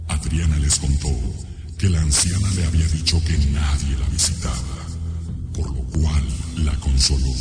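A man with a Mexican accent narrating a horror radio drama as a grave, ominous storyteller. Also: rumbling low.

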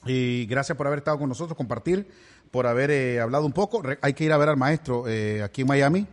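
A man's voice: mid-range voice, fast. A man hosting a podcast in Miami, interviewing a guest with joking, teasing banter. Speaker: podcast host. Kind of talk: casual interview chat.

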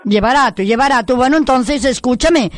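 A woman's voice: sits high, fairly quick, dry recording, close to an everyday voice. A woman putting on a pushy older village lady, prank-calling over the phone on radio. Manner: pushy, coarse, rambling.

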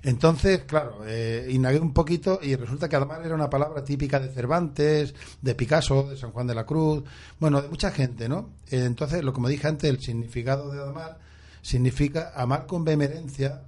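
A man from Catalonia, a poet guest on a radio magazine, answering in an earnest, measured way with soft hesitations. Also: mid-range voice. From Catalonia, Spain. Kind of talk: poetry recitation and interview answers.

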